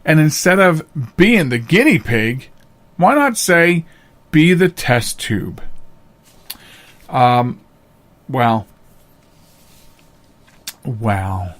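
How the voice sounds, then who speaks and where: Middle-aged man with a higher-pitched voice. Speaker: podcast host. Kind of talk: casual opinion commentary on a news item.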